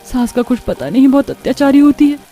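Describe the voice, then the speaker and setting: Woman acting a young cartoon bride, high-pitched, bright, anxious, emotional, suspicious. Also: animated, fairly quick, soft background music. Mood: fearful. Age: young adult. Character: frightened, suspicious young bride, tearful and pleading. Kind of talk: cartoon story dialogue.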